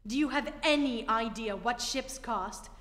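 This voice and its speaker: A woman's voice: fairly high pitch, unhurried, a bit bright. A woman playing a commanding, skeptical spaceship captain in a radio play, speaking with emphatic authority on stage. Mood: skeptical, amazed. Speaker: amateur theatre actor. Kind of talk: old-time radio play stage acting. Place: theatre stage before an audience. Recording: echoey hall, live stage performance.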